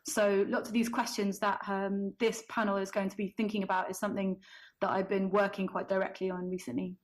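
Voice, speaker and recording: A woman with a flat, even voice, introducing herself on a panel. She sounds relaxed and conversational over a video call. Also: mid-range voice, a little fast. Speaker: panelist, company founder.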